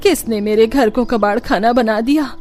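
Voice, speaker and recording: A woman's voice: fairly high pitch, normal speed, a little mellow, soft background music, natural voice. A woman voicing an indignant older mother-in-law, exclaiming and scolding dramatically in a cartoon moral story. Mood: shocked, outraged.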